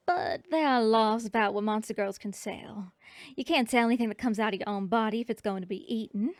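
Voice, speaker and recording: A young bee girl with a warm Southern drawl teases and charms a stranger at her market stall, as a woman plays her. Her voice sits in a middle register and is recorded close and dry, ASMR style. She often softens into gentle whispers between folksy remarks. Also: medium pitch, dry recording, close to an everyday voice. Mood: teasing. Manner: folksy, hospitable, teasing, drops into soft whispers. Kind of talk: ASMR roleplay. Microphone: close ASMR microphone.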